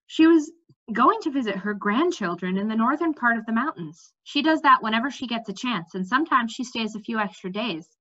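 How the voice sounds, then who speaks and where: A woman reads as a brisk, candid young fantasy heroine from a storybook. She talks in a bright, fairly high voice with animated pitch. The recording is a little dull. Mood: fond, explaining. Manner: matter-of-fact, animated.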